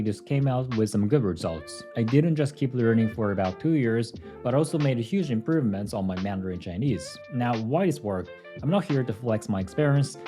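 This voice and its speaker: A young Japanese man, speaking English as a second language, calmly narrating a scripted video about his language learning. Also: medium pitch.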